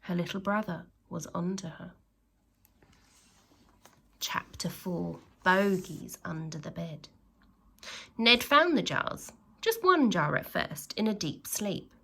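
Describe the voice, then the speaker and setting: A woman reads a storybook to young children in a bright voice with a creaky edge. Her pace is slow and deliberate. She performs it expressively, stressing dramatic words and turning to her listeners as a teacher would. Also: lowish voice. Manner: expressive, with dramatic emphasis and exclamations; pauses to address young listeners with questions. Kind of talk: animated storytelling read-aloud for young children.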